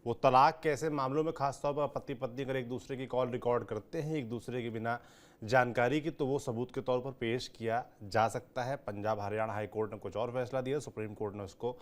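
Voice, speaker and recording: A man's voice: medium pitch, steady tone, fairly quick. A man presenting the news as an anchor and explaining it to viewers.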